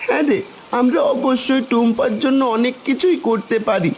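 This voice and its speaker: A woman's voice: high voice, moderate pace, noisy background, lightly acted. A woman voicing a kindly, helpful magic fish, an older brother in a cartoon fairy tale, earnest and reassuring. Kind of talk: animated Bengali fairy-tale cartoon dialogue.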